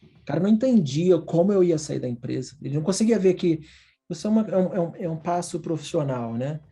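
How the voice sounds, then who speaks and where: Man, Brazilian Portuguese accent, gravelly, mellow tone, candid slangy storyteller. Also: fairly high pitch, expressive, quick pace. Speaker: guest, design professor abroad. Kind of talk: casual storytelling conversation.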